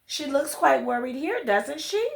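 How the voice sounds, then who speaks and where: Female children's storyteller, higher-pitched and very animated, chatty asides to young listeners, roomy echo. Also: unhurried, vocal fry, slight background noise. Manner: addresses children directly with questions and exclamations. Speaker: children's read-along storyteller, host. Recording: roomy, echoey sound.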